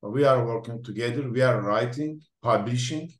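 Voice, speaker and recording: A man hosting an interview, introducing his guest slowly with many fillers and restarts, speaking English as a non-native Turkish speaker. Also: medium pitch. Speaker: interview host, lab researcher. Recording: echoey room.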